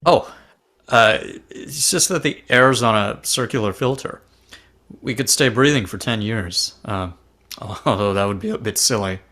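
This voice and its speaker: A man's voice: lowish voice, a little slow, bright, forceful tone. A man playing a polite, inquisitive passenger in an amateur drama table read, speaking hesitantly. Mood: apologetic. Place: online video call table read.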